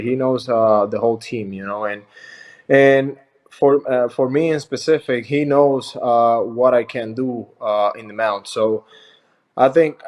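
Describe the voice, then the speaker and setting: Young guy, mid pitch, friendly and halting, lots of "you know". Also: darkish tone. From Puerto Rico. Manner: halting, many fillers and restarts, friendly. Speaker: professional baseball pitcher, interview guest. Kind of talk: casual interview answers.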